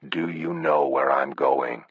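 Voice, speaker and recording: Man acting cold killer, very low, husky, measured, menacing, audiobook villain, dull audio. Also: steady tone, no echo, heavily acted. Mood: calm menace. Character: cold, menacing, calmly controlled male killer.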